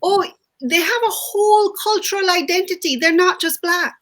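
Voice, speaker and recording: Host, woman; eager questioner, high and bright. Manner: eager, questioning, quick responses. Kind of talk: panel conversation.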